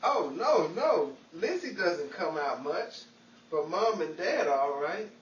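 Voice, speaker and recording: A man's voice: high voice, sing-song, slow, exaggerated voice. Man acting mischievous broke schemer, wisecracking, 1940s radio comedy style, muffled, distant from the mic. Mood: wry. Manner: wisecracking, cocky banter. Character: mischievous, scheming, broke young male bit-part movie actor. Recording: echoey, noisy, muffled, distant radio-play recording.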